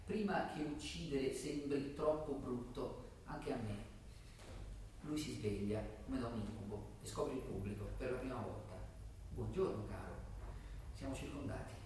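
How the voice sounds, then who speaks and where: A man giving a measured dramatic reading of stage directions, in short deliberate phrases. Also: medium pitch, darkish tone. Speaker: reader performing a stage play excerpt. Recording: distant, very echoey room with faint noise.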